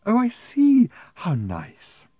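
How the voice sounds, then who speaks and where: A man voicing a prim, genteel middle-aged woman selling raffle tickets in a storybook, speaking slowly with a cloying, sighing sweetness. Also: high-pitched, huge pitch swings, dark tone, slightly rough, dry recording, voice pushed up, full character voice. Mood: cloyingly sweet.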